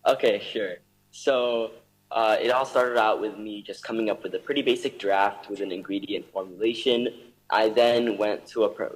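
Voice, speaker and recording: A man's voice: lowish voice, darkish tone, in a large hall, low-quality recording. A young man from Ethiopia answering interview questions over a video call, earnest and eager, with fillers. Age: young adult. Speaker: guest.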